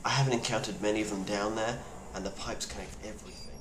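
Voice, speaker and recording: Male actor as weary apocalypse survivor, lowish voice, blunt and grave video testimony, noisy roomy sound. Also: fairly even intonation, quick pace, natural voice. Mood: earnest, advising. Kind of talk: in-character monologue, found-footage video diary. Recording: roomy echo with clear background noise.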